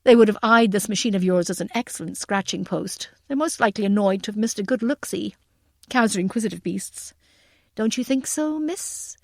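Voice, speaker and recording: A woman's voice: medium pitch, brisk, gravelly, darkish tone, no room sound, clean studio sound. Audiobook role: courteous, easygoing American man of the countryside , played by a woman, dryly recorded. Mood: relaxed, amused. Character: polite, relaxed American countryman, amused and appreciative.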